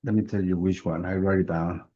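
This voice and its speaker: A man's voice: sits low, fairly even intonation, a little fast, muffled recording. A man, a pastor and chaplain in a peer group, who searches for his words and rephrases as he speaks. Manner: searching, repeats and rephrases as he goes. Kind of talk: group discussion.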